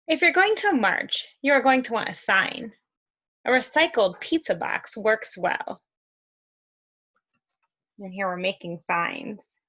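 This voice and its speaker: A woman reading a picture book aloud to young children, expressive and encouraging, with conversational asides. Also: mid-range voice, measured pace, pauses often. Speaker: read-aloud host for children.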